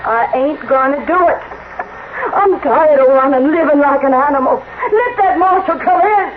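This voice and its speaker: A woman's voice: high voice, normal speed, lightly acted. An actress playing a weary, frightened outlaw's woman, plaintive and American, in a 1960s Western radio drama. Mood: defiant, weary. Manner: plaintive, emotional. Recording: noisy narrow-band vintage radio recording.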